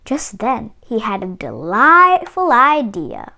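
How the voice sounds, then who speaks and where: A woman reading a children's story aloud in a deliberate, expressive narrating style. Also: on the high side, creaky. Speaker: storybook reader.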